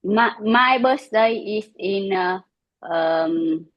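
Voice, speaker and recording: A woman in her thirties giving halting answers as an English learner, searching for words. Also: mid-range voice, no room sound, muffled recording. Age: 30s.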